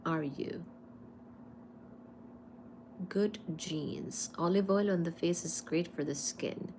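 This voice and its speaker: A young woman from India with an Indian accent, hosting a casual livestream and answering viewer comments in an unhurried, hesitant way with frequent fillers. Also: mid-range voice, fairly bright tone, slight background noise. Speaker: livestream host. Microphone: phone or webcam on a live stream.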